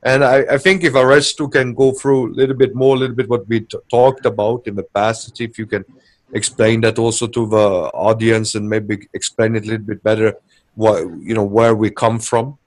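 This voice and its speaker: A man talks to listeners as a guest, earnest but hesitant, often pausing on fillers. His voice sits low. He speaks plainly and sincerely. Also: level tone. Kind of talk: casual conversation to listeners.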